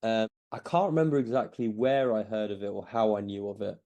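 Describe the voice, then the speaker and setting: A man's voice: fairly low pitch, fairly even intonation, a little fast, mellow. A man in his thirties who grew up in Belgium, answering interview questions in a casual, hesitant way with many restarts. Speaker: podcast guest.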